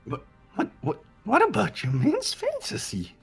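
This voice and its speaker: Husky, wildly swooping little monster villain. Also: mid-range voice, huge pitch swings, measured pace, soft background music, full character voice. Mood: bitterly disappointed. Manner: theatrical, mock-wounded, taunting. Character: evil little mischievous bear monster, gleefully mocking villain. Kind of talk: fan-dubbed video game character voice.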